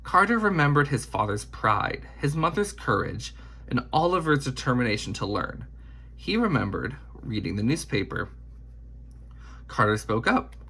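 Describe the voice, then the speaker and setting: Husky male storyteller with lively intonation. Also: medium pitch, moderate pace. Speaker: narrator reading a children's picture book biography aloud. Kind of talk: expressive read-aloud storytelling.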